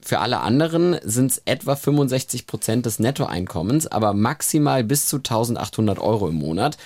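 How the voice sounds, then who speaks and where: A man's voice: mid-range voice, big pitch swings, a little fast, darkish tone, dry recording. Matter-of-fact man podcast co-host. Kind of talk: casual podcast chat.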